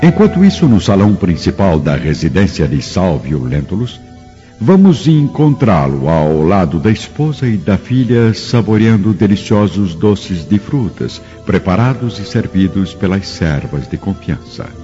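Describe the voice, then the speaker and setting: A man's voice: low-pitched, big pitch swings, a little slow. A man with a Brazilian accent narrating a scripted radio drama in a solemn, literary storytelling manner. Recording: dull radio recording with long reverb, music behind.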